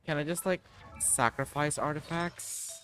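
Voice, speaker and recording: A man's voice: a little high, steady tone, a little slow, sharp tone, soft background music. A man chatting casually as he streams a game, thinking aloud to himself. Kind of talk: casual muttering livestream gameplay chat.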